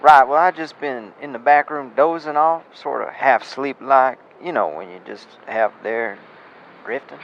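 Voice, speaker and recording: A man voicing a gravelly old-fashioned farmer from a radio play, rambling on in folksy country talk. He sounds muffled and lo-fi, with a faint hiss behind him. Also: mid-range voice, a little slow, natural voice. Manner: folksy rural speech, rambling. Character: rustic male farmer, plain-spoken landowner. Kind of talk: amateur radio drama acting. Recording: dull lo-fi with faint noise.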